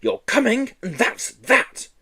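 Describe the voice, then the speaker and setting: A man's voice: very high-pitched, huge pitch swings, slow, pushed and bright, husky, voice pushed up, full character voice. A man voicing a cruel, snappish older woman teacher who barks and sneers in a children's storybook. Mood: angry, sneering. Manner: barking, sneering, scolding. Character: harsh, thin grey-haired woman teacher, cruel and snappish. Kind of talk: children's audiobook character reading.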